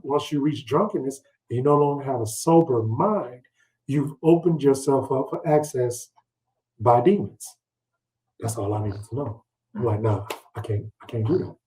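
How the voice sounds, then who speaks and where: A man's voice: on the high side, a little mellow, poor audio. A middle-aged man teaching scripture in a conversational, colloquial way, checking in with his listeners through rhetorical questions. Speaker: Bible teacher leading a read-along. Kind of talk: informal, conversational scripture teaching with personal anecdotes.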